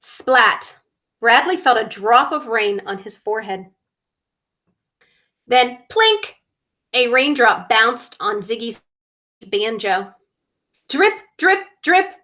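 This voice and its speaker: A woman reads a picture book to children in a high, lively voice. She takes her time and enunciates with dramatic emphasis. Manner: dramatic, deliberate enunciation with emphasized sound words. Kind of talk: expressive children's story reading.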